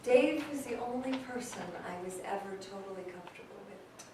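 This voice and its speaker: A woman's voice: mid-range voice, moderate pace, natural voice. Echoey, noisy recording of reflective mother played by a woman. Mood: wistful, agreeable. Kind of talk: staged reading, conversational dialogue. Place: live staged reading in a large room. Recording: very echoey hall, distant mic, clear noise.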